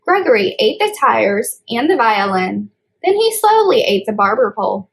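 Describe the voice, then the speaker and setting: A woman's voice: a little high, sing-song, normal speed, rough recording. A woman hosting storytime as a children's librarian, reading aloud and explaining things in simple phrasing for young children.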